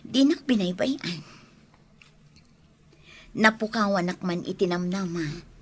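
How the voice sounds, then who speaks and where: A woman playing a soft-hearted, hopeful young woman in love in an Ilocano radio drama. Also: lowish voice, takes it slowly, a little hiss or hum. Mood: grateful.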